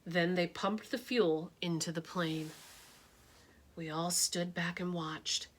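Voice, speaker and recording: A woman teacher reading a picture book aloud, expressive and dramatic, shifting her tone for suspense. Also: fairly low pitch, slow, slight background noise.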